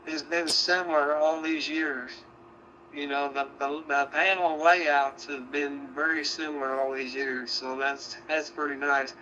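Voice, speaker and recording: Male ham operator, sharp bright tone, halting casual tech chat, muffled lo-fi radio audio. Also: on the high side, little pitch movement. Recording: dull, noisy digital radio transmission.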